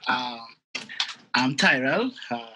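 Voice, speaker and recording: A man's voice: medium pitch, animated, slow, noisy background. A young man from Trinidad introducing himself on a video call, laid-back and joking, trailing off at the ends. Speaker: podcast guest. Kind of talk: casual self-introduction.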